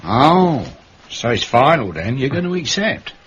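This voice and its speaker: A cheeky Cockney manservant in a radio play, all grumbles and wounded dignity. The voice is husky and swoops up and down, on a slightly dull recording. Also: male voice, medium pitch, very animated, unhurried, full character voice. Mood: nosy, teasing. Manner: grumbling, colloquial, blunt. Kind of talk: radio drama acting.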